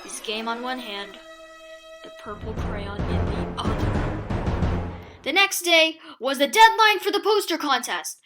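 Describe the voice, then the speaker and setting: A woman with a high-pitched voice narrates a spooky picture book for children. She takes her time, pausing deliberately for suspense and playing up the drama. Music runs clearly underneath her reading. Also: lively intonation. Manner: theatrical, suspenseful, with deliberate pauses. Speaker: children's storybook reader.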